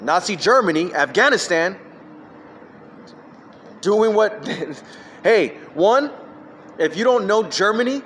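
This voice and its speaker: A man's voice: high-pitched, expressive, slow, long pauses, fairly bright tone, slight background noise. A man ranting alone into his phone, jumping from one topic to the next with emphatic, scattered delivery. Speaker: vlogger. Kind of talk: rambling solo rant.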